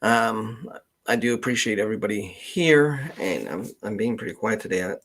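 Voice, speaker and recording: A man hosting a casual livestream, talking in a halting, hesitant way and often trailing off. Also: fairly low pitch, a bit bright. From Canada. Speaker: livestream host, genealogist.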